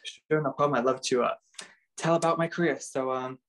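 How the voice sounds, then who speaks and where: A man's voice: mid-range voice, steady tone, a little fast, fairly bright tone. A young man from Bulgaria, raised in Canada, chatting casually as an interview guest and filling his talk with "like" and "um". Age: young adult. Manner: chatty, many fillers like "like" and "um". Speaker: interview guest, model and dancer. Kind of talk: casual chat.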